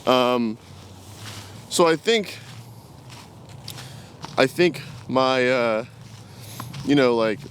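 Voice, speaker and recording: A man's voice: medium pitch, very slow, long pauses, a little hiss or hum. A man in his twenties talking casually and reflectively to camera, rambling and hesitating as he walks outdoors. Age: 20s. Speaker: vlogger. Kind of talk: casual unscripted talk to camera. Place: outdoors, walking in woods. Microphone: camera carried while walking.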